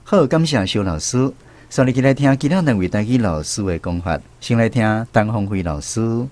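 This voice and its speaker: A man's voice: medium pitch, lively intonation, moderate pace, a little mellow, dry recording. A man with a Taiwanese accent hosting a radio language quiz, speaking to listeners and students.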